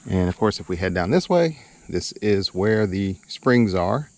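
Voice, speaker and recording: Low-voiced man rambling casually outdoors. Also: animated, a little slow, a little mellow. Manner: rambling, hesitant, chuckling. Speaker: channel host. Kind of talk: casual camping vlog narration. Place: outdoors at a campsite. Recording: outdoor background noise.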